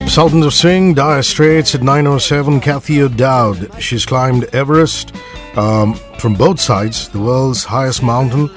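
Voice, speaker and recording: A man's voice: medium pitch, a little slow, faint music behind. A man hosting a morning radio show, chatty and joking with his guest. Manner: jokey, chatty, laughs often. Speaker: radio host. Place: radio studio.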